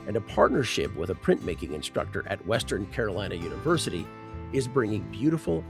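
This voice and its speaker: A man with a low, gravelly voice reads a radio news introduction in a lively, expressive way. His pitch moves around a lot, and clear plucked guitar music plays under him. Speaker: radio show host. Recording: voice read over guitar music.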